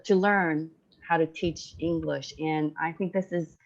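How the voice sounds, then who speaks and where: A low-voiced woman with a Taiwanese accent, a native Chinese speaker. She talks in an even, flat line, pausing to search for words. The sound is that of a dull online call. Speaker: online teacher-training participant and educator. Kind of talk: informal discussion in an online meeting. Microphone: online video call.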